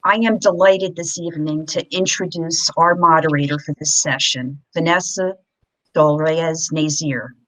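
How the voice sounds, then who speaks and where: A woman hosting a video-call town hall, giving a measured, formal introduction with a few slips and fillers. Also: low-pitched, a bit bright. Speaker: event host introducing moderator. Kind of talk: formal introduction, read from notes.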